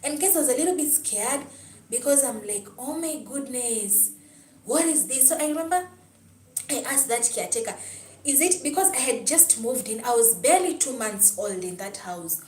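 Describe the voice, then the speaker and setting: A woman's voice: sits high, expressive, normal speed, darkish tone, echoey room, recorded from a distance, slight background noise, rough recording. A young Kenyan woman with a Kenyan accent, hosting a casual livestream, reading comments aloud and telling a personal story. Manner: chatty, answers chat comments, storytelling. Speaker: livestream host. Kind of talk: casual livestream talk with viewers.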